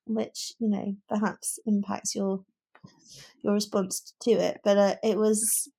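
A woman chats casually about books as a podcast co-host. Her voice sits at an average female pitch. She is reflective and tentative, working out her opinion as she speaks. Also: flat delivery, slow, gravelly, mellow, breathy edge, low-quality recording. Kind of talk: casual book discussion.

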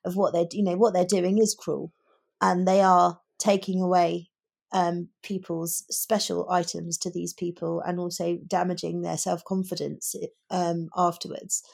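A woman's voice: lowish voice, level tone, measured pace, vocal fry, a little mellow. A woman co-hosting a conversational book discussion, thinking aloud in long, emphatic explanations. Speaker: podcast co-host.

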